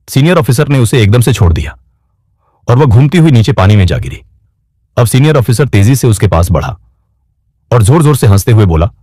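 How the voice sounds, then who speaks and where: A man's voice: on the low side, mellow, slightly rough, dry recording, studio quality. A man narrating a scripted story in a dramatic style as a storyteller.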